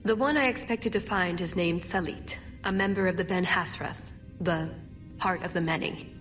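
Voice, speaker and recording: A woman's voice: lowish voice, moderate pace, fairly bright tone, faint music behind, close to an everyday voice. A woman playing an earnest, idealistic young female elf agent in a fantasy video game, sincere and hesitant. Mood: regretful, earnest. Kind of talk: video game cutscene acting.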